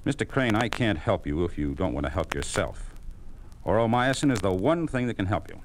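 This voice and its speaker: Casting: male actor for steady, principled American physician, low register, vintage radio drama with slight hiss. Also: fairly quick, natural voice. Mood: concerned, professional. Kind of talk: 1950s radio acting. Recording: faintly noisy old radio recording.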